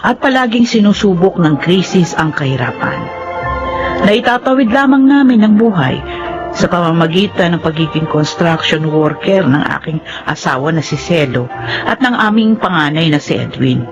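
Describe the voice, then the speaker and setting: A woman's voice: fairly low pitch, animated, moderate pace, lo-fi sound, close to an everyday voice. A woman with a Filipino accent playing a poor, careworn wife and mother in a radio drama, earnest and plain-spoken. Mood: worried, sorrowful. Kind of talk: radio drama acting and narration. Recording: radio drama with music bed.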